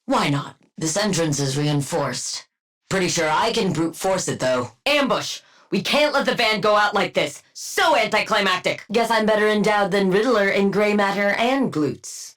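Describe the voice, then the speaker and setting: A woman playing a brilliant, dry-witted scientist villain-antihero in a video game, speaking in a clinical, deadpan and wryly bookish way. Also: mid-range voice, natural voice. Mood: urgent, commanding. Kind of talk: video game combat voice lines.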